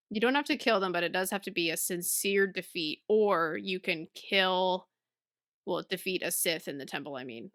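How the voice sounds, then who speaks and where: A woman's voice: fairly low pitch, sharp tone, no room sound, studio quality. A woman co-hosting a podcast in a casual, chatty way, often laughing through her words. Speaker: podcast co-host. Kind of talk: casual book-club chat.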